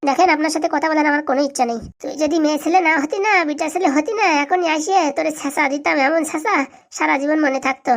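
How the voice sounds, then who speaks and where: Woman playing a fast-scolding, vengeful village uncle. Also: high voice. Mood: furious. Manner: scolding, indignant. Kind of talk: comic cartoon dialogue.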